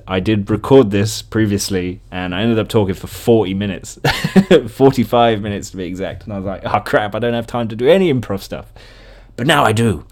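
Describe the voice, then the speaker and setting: A man's voice: fairly low pitch, a little mellow. A man hosting a casual solo podcast, chatting in a rambling, jokey way and often interrupting himself. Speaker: podcast host.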